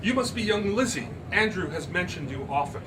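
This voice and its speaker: A man's voice: high voice, animated, a little fast, far from the mic, noisy background, pitched above the performer's own voice. A man voicing an elegant, haughty, flirtatious woman in a grandiose, formal, sonorous manner. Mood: poised. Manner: grandiose, formal, precise diction.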